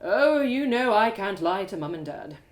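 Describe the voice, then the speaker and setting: A woman's voice: medium pitch, very animated, normal speed, natural voice. Woman voicing a haughty, drawling male elf. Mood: silky, mocking. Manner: drawling, falsely polite, taunting. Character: sly, mocking, beautiful male elf, haughty brother.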